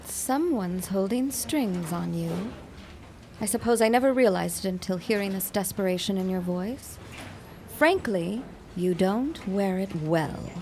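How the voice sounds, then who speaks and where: An actress playing a sardonic, suspicious accomplice in a period audio drama, speaking in a deliberate, theatrical manner. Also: female voice, mid-range voice, expressive, mellow, a little hiss or hum. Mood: mocking, cool.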